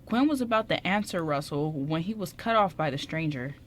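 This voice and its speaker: A low-pitched female narrator reads a radio play script. She stumbles now and then, and there is faint noise on the amateur recording. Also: expressive, brisk. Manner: stumbles while reading.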